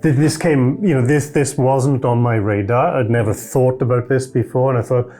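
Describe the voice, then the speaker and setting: A foster father telling a lively, self-mocking story about his family. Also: medium pitch, normal speed, faint music behind. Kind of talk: anecdotal storytelling.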